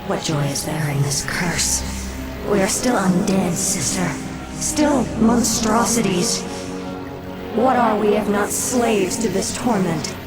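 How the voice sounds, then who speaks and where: An actress playing a cold, bitter undead elf queen in a fantasy video game cutscene, menacing and deliberate. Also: mid-range voice, close to an everyday voice, poor audio. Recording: loud music under the voice.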